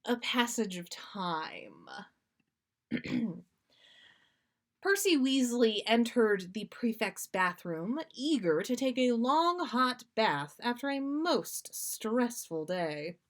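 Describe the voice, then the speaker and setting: A woman's voice: fairly high pitch, very animated, slow pace. A woman narrating a story aloud as a podcast host, with dramatic, playful expression. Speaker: podcast host reading listener stories.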